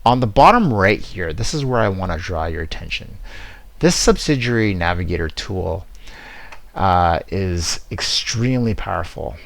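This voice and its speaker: Deep, creaky-voiced man presenting a demo. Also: lively intonation, normal speed, fairly bright tone, no room sound. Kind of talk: product demo walkthrough.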